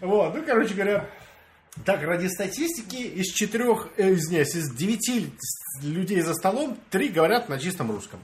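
A man's voice: high-pitched, normal speed. A young man from Russia, telling a story in casual chat with friends and acting out the dialogue. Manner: animated storyteller, acts out dialogue, laughs often.

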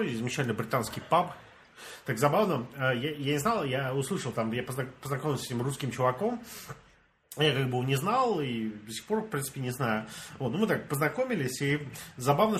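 A man's voice: mid-range voice, slight background noise. A young man from Russia, telling a story in casual chat with friends and acting out the dialogue. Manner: animated storyteller, acts out dialogue, laughs often.

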